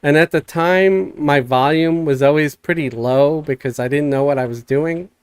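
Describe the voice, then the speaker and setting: A man hosting a live stream, chatting casually in a soft, calm way. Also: a little high, normal speed, clean tone, dry recording. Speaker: streamer host. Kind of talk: casual chat.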